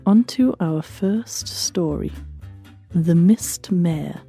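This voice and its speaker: A woman playing a formal, deadpan librarian pony who presents short stories. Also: fairly low pitch, extremely slow, gravelly, faint music behind, full character voice. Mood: dry, formal. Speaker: host. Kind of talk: scripted presenting.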